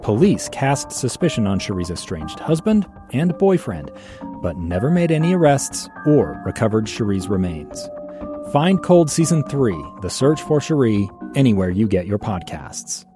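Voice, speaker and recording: A man's voice: lowish voice, big pitch swings, moderate pace. Man reading a podcast promo over music. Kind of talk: scripted true-crime promo narration. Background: loud-ish music bed.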